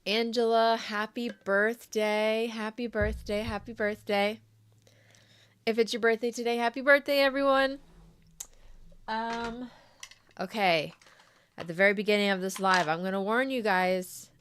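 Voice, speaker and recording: A young adult woman hosting a crochet live stream, chatty and friendly with viewers while sick with a sore throat, often clearing her throat. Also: on the high side, steady tone, unhurried, long pauses, a bit bright. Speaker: crochet livestream host. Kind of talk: casual live stream chat.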